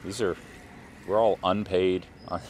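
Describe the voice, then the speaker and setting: A man hosting a live bike race broadcast outdoors, chatting in a relaxed, halting way with many fillers. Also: sits low, slight background noise. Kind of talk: live trackside chat.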